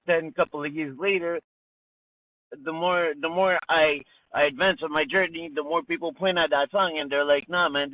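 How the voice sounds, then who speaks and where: Montreal rapper dude, chill slangy talk, dry thin call audio. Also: male voice, fairly high pitch, dry recording. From Montreal, Canada. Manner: laid-back slang, frequent "you know", "man", "bro". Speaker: rapper guest. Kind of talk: casual interview chat. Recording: tinny phone-like call line.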